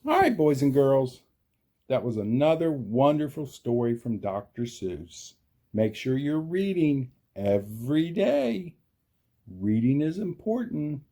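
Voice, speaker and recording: A man reading a picture book aloud to children, emphatic, deliberate and theatrical. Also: mid-range voice, sing-song, warm tone. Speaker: storybook reader for children. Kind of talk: animated read-aloud storytelling.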